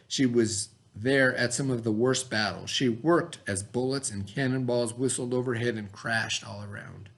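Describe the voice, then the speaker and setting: A man reading aloud as a teacher, in an expressive, instructive way, with questions and simple explanations for pupils. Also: medium pitch.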